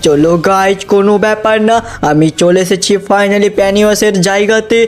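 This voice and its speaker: A man's voice: high-pitched, fairly even intonation, brisk, smooth. A young man, dramatically narrating a cartoon story and talking to viewers. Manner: dramatic, addresses viewers as guys. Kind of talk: excited cartoon game narration.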